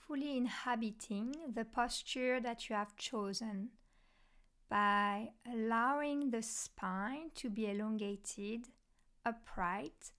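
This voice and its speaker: A woman leads a guided mindfulness meditation as a teacher. Her voice sits a little on the high side. She speaks very slowly and deliberately, setting out short phrases with pauses between them. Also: smooth.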